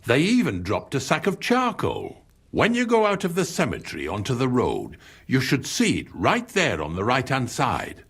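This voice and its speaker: An actor playing a laconic, helpful medieval villager with a husky voice, in a video game. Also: male voice, medium pitch, wildly swooping pitch, moderate pace, fairly bright tone, exaggerated voice. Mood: dry, helpful.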